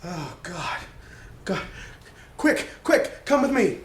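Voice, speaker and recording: A man's voice: sits high, big pitch swings, takes it slowly. A man playing a tabletop game, chatting casually and speaking in character. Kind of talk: casual in-game chat and roleplay. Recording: echoey room, faint background noise.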